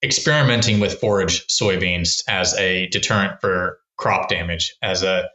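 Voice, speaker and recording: A man's voice: low-pitched, measured pace, bright, forceful tone, echoey room, low-quality recording. A man from Texas, a wildlife researcher who is a podcast guest, explaining his work in a casual, rambling way with many restarts and fillers. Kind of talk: casual explanatory interview talk.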